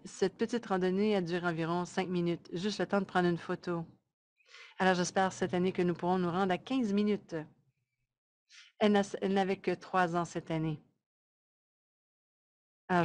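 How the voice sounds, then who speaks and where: A woman interpreting a webinar presentation live, in measured, halting short phrases. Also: on the low side, fairly even intonation, a little mellow.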